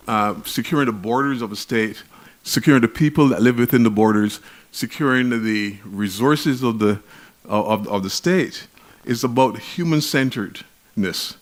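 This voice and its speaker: Barbadian man giving a measured lecture. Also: medium pitch. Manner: measured, emphatic, with frequent restarts. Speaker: conference speaker. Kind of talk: academic conference lecture.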